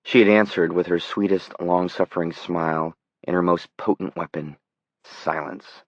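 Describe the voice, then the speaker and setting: A man's voice: low voice, steady tone, normal speed, dry recording, dull audio. A man narrating scripted dramatic fiction as an audiobook reader.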